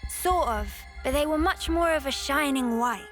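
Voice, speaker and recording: A woman's voice: high-pitched, lively intonation, normal speed. A woman voicing a sassy, outspoken young winged woman in a video game, talking in a blunt, slangy way. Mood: casual. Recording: game cutscene with loud music.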